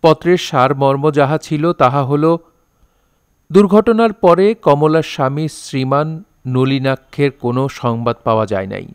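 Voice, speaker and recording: A man's voice: mid-range voice, normal speed, clean tone, dry recording, clean studio sound. A man narrating an audio drama in a formal, literary manner.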